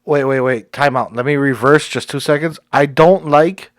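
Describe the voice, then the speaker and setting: A man's voice: mid-range voice, steady tone, normal speed, no echo, clean studio sound. A man hosting a podcast, talking casually and thanking his guest effusively, laughing often. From Cuban heritage. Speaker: podcast host.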